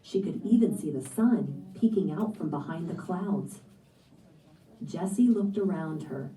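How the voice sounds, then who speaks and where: A woman's voice: lowish voice, unhurried, dark tone. A woman reading a scripted children's story aloud as narrator. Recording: echoey room, picked up from a distance.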